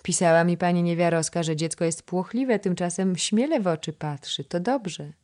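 A woman voicing a middle-aged royal princess who gives calm orders and speaks kindly. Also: on the low side, normal speed, pitched above the performer's own voice, close to an everyday voice. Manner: calm, commanding yet kind.

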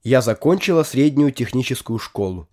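A man reading out translated phrases one at a time for a language lesson, in a careful, measured way. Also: medium pitch, animated, darkish tone. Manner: gives each phrase as a careful spoken translation. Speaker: translation reader.

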